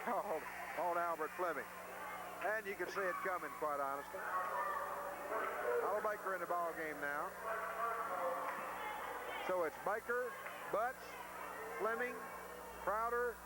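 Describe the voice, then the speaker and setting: A man doing live radio play-by-play of a basketball game in short, folksy phrases. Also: sits high. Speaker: radio play-by-play sports announcer. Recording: noisy old VHS tape of local radio broadcast.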